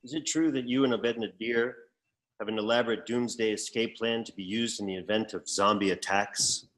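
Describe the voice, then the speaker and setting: Man acting a low-voiced, formal male interrogator. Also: brisk, natural voice, poor audio. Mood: stern, probing. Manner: formal, deadpan. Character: stern formal male interrogator running lie-detector questioning. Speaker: actor at a virtual table read. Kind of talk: scripted sitcom read, formal questioning.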